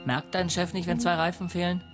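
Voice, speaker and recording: Touchy, higher-voiced young drifter. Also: male voice, flat delivery, fairly quick, a bit bright, soft background music. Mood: questioning. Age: young adult. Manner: precise, correcting, touchy. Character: sensitive, pedantic young ex-convict drifter. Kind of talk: radio drama dialogue.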